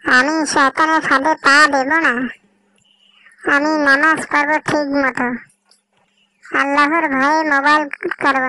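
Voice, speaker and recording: A very high-pitched, bright schoolboy from a moral cartoon, acted out by a woman. He pleads earnestly and eagerly, drawing the words out slowly. There is some faint noise behind the voice. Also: low-quality recording. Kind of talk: slow scripted dialogue in a moral cartoon.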